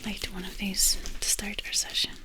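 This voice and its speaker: A woman whispering softly as she guides an ASMR energy-healing session in a loose, chatty way. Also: mid-range voice, completely flat delivery, moderate pace. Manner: soft unvoiced whisper, loose and chatty with many fillers. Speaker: energy healer and ASMR creator.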